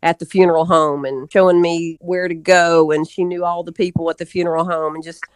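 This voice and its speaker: A woman's voice: fairly low pitch, level tone, a little fast, smooth, no room sound. A middle-aged woman talking casually and earnestly as an interview guest, often repeating words as she goes.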